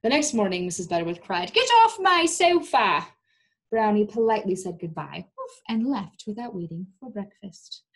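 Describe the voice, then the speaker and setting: A young woman reading a storybook aloud to small children in an animated, coaxing way, pausing to ask them questions. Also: medium pitch, husky. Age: young adult. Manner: animated, coaxing, exaggerated delivery for young listeners. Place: small indoor room.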